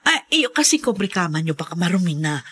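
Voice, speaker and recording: A woman's voice: on the low side, big pitch swings, fairly quick, a bit bright, slightly rough, exaggerated voice. Flustered, evasive Filipina mother. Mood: nervous, evasive. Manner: hesitant, stammering. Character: furtive, evasive, defensive middle-aged mother. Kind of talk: melodramatic Filipino radio drama acting.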